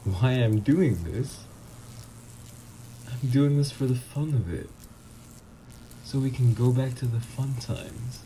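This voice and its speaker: Male actor as psychopathic, flirty villain ex for ASMR roleplay; dark, mellow tone with swooping intonation, smug and teasing. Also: medium pitch, animated, slight background noise, rough recording. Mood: flirty, taunting. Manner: smug, drawn-out, intimate teasing.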